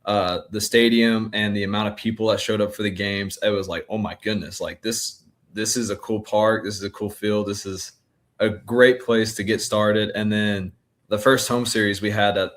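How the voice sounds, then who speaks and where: A man in his twenties with a fairly low voice, speaking as an athlete being interviewed. He talks in a relaxed, colloquial way, giving casual answers. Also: normal speed. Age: 20s. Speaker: college baseball pitcher being interviewed.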